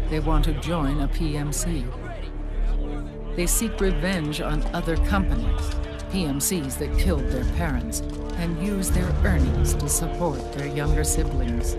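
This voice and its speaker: An actress playing a commanding older woman who leads a resistance group, speaking in a grave, measured way in a game cutscene. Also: deep voice. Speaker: resistance leader. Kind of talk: video game cutscene acting.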